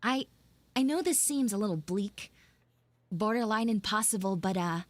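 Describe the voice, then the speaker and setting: A woman's voice: mid-range voice, expressive, normal speed, voice pushed down, natural voice. A woman playing a prickly, defensive schoolgirl in a live audio roleplay, stammering and dramatic as she grumbles and pleads. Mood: desperate.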